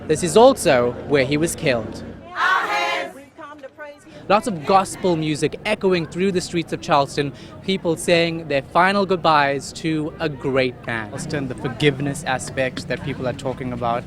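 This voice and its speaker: A man's voice: on the high side, normal speed, a crowd in the background. A man presenting broadcast news as an anchor and reporter, in a scripted delivery.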